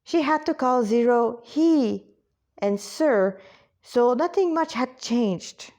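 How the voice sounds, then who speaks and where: A woman's voice: on the high side, lively intonation, slow pace, darkish tone. A woman reading a story aloud as an amateur narrator, informal, with stumbles, self-corrections and casual asides. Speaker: amateur storyteller reading own fan fiction.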